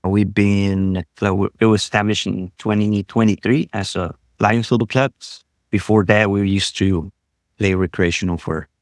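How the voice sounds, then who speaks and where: A man tells his story as a youth coach. His voice sits very low. He speaks in an unhurried way with small restarts, and the sound is close and dry. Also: no room sound. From Costa Rica. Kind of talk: casual interview storytelling.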